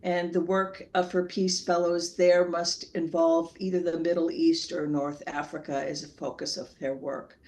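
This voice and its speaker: A woman's voice: lowish voice, little pitch movement, normal speed, hardly any pauses, darkish tone. A woman chatting casually as she introduces a guest speaker over a video call, losing her train of thought now and then. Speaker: club member introducing a speaker.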